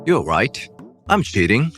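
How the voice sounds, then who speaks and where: A brazen, callous husband acted by a man in a manga dub. His medium-pitched voice leaps widely in intonation. There is faint music behind him. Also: very animated, unhurried, fairly bright tone. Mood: brazen, cold. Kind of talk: dubbed manga drama acting.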